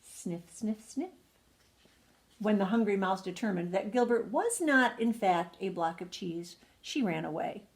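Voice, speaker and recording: A woman's voice: medium pitch, animated, moderate pace, hardly any pauses. An older woman, a retired teacher, reading a children's bedtime story expressively, drawing out words for emphasis. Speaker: retired second-grade teacher.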